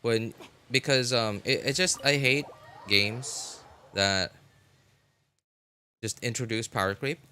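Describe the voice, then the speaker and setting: A man hosting a game livestream, chatting casually in a halting, rambling way and often repeating and rephrasing himself. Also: mid-range voice, bright, forceful tone, slight background noise. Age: adult, college graduate. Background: music.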